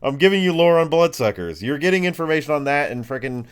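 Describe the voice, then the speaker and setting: A man working as a game master, improvising tabletop narration casually and haltingly, searching for words. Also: a little high, lively intonation, clean tone.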